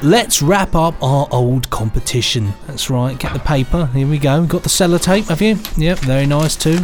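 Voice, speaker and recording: A man's voice: fairly high pitch, moderate pace, faint music behind. A man, co-hosting a podcast, chatting in a rambling, good-humoured way and reading out listener emails. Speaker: podcast co-host.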